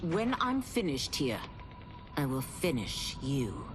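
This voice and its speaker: A woman's voice: extremely low pitch, sing-song, slow pace, heavy background noise, full character voice. A woman playing a defiant, vengeful young warrior in a video game, resolute and dramatic. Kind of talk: video game cinematic acting. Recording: loud music behind.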